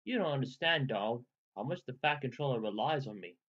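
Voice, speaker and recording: A man's voice: mid-range voice, animated, fairly quick, slightly rough, highs cut off. A man voicing a proud, earnest, easily ruffled little tank engine in a children's story. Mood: self-important.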